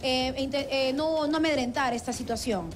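High-voiced Peruvian woman reporting live. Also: faint music behind. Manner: brisk reporting with restarts. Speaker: field reporter. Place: outdoors on a city street. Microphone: handheld mic.

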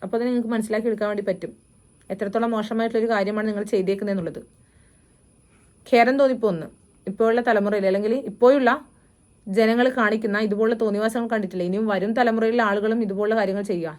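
A woman's voice: medium pitch, steady tone, rapid-fire, a little mellow. A woman giving disapproving, at times sarcastic opinion commentary as a reaction video host.

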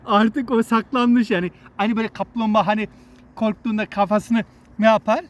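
A woman's voice: fairly high pitch, steady tone, normal speed. A woman hosting a casual outdoor vlog, explaining nature to children in an instructive way and often repeating her words. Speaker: vlog host guiding children. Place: outdoors in a wooded area.

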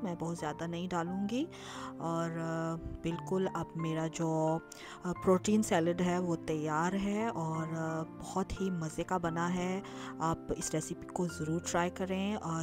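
A woman narrating her cooking and dinner-outing vlog in a chatty, explanatory way, with frequent filler sounds. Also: fairly low pitch. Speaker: lifestyle vlogger. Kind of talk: casual recipe and travel vlog voice-over. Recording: clear music bed behind voice.

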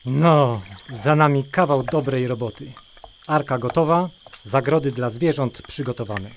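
A man's voice: mid-range voice, a little slow, a little hiss or hum, natural voice. A man playing a devout, steadfast old patriarch in a children's audio drama, speaking earnestly. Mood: calm, assured.

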